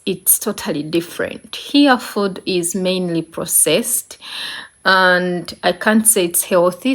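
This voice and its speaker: A woman with a Ugandan accent giving casual, conversational advice as a vlogger, repeating points for emphasis. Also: fairly low pitch. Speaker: vlogger, channel host. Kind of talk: casual advice talk to camera.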